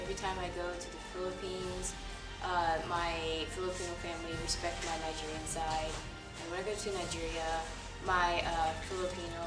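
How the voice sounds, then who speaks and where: A woman talks through interview answers in a casual, rambling way, stopping and restarting often and slipping in light laughs. Her voice sits a touch low for a woman. The mic is far off in an echoey room, with music playing clearly behind her. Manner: hesitant, rambling, many fillers and restarts. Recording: roomy echo, distant mic, music behind.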